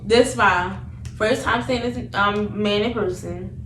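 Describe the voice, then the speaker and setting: A young woman chatting casually as she tells a story, animated, slangy and repetitive in her retelling. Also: mid-range voice, takes it slowly. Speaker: vlogger telling a story. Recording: roomy, distant mic, noticeable background noise.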